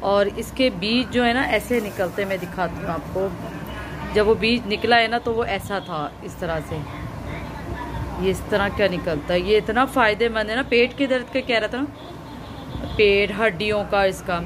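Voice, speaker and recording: A woman's voice: medium pitch, normal speed. A woman vlogger chatting casually to her viewers as she shows them things at home. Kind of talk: casual home vlog chat. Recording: noisy background.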